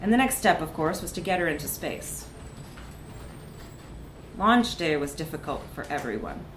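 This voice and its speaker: A woman reading a comic short story aloud in a live literary reading, with expressive, dramatic emphasis. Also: deep voice, moderate pace. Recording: echoey room with background noise.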